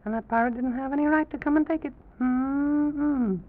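A man voicing an excitable, bumbling, boastful little president who stammers and repeats his words in an old children's radio story. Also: extremely high pitch, normal speed, voice pushed up. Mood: determined, proud. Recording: dull, muffled old radio recording.

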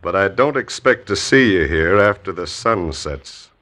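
An actor in a 1950s radio western playing a menacing, mocking saloon boss. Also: male voice, low voice, lively intonation, a little slow, fairly bright tone. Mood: taunting. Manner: taunting, mocking. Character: menacing saloon boss, smooth, sneering villain. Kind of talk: 1950s radio drama acting.

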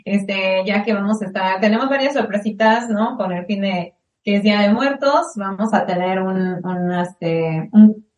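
A woman's voice: medium pitch, a little slow, a little mellow. Talkative band woman in an echoey room. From United States, of Mexican descent. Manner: friendly and talkative, with many fillers. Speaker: band member. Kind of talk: casual video-call interview. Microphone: video call.

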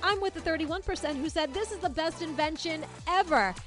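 A woman's voice: very high-pitched, fairly quick, fairly bright tone, faint music behind. A woman hosting a scripted, upbeat radio poll segment.

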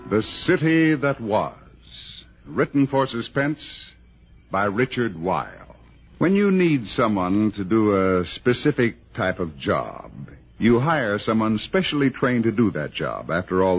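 1960s American radio announcer, male, lively scripted delivery with a slightly rough edge, dull old broadcast sound. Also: fairly low pitch, a little slow.

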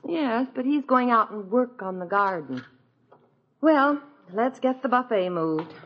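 A middle-aged mother in a 1950s radio sitcom. She is practical and drily skeptical, with a slightly high voice. The sound is muffled, like an old broadcast. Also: expressive, a little slow. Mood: brisk. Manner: dry, sardonic. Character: wry, practical housewife mother, patiently skeptical. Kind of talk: 1950s radio situation comedy acting. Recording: dull vintage radio broadcast recording.

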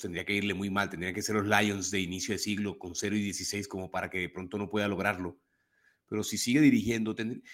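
A man with a Colombian Spanish accent co-hosting a sports podcast, talking animatedly and restarting his sentences often. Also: lowish voice. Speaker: podcast co-host. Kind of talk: casual sports talk.

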